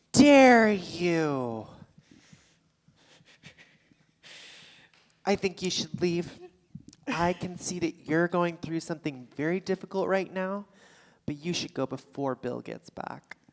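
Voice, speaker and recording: A woman's voice: lowish voice, expressive, slow pace. An actress playing a defensive, rattled woman with a secret affair in a live staged play reading. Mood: indignant. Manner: indignant, sarcastic, stammering when rattled. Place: theatre stage before a live audience. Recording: live theatre stage, long hall reverb.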